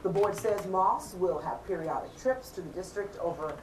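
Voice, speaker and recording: A woman's voice: on the low side, expressive, moderate pace, a little hiss or hum, poor audio. A woman anchoring a TV newscast, reading the news in a broadcast style. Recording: control room chatter behind the voice. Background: director's cues and crew talk.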